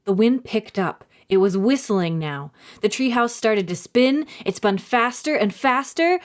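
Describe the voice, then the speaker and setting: Animated woman reading a children's storybook. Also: on the high side, measured pace. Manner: dramatic, clearly phrased storytelling. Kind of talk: expressive scripted storybook narration for children.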